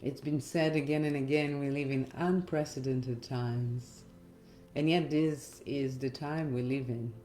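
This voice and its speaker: A very slow-speaking middle-aged woman leads a reflective meditation, leaving gentle pauses between phrases. Her tone is soft-edged and mellow. The voice sits in a roomy echo with faint music underneath. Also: extremely low pitch, steady tone. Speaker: meditation guide and hypnotherapist. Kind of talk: reflective guided meditation talk.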